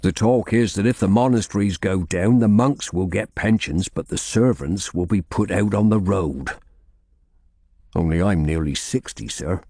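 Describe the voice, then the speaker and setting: A man's voice: deep voice, normal speed, warm tone, no echo, studio quality. A man voicing a meek, anxious servant of nearly sixty in an audiobook, pleading deferentially.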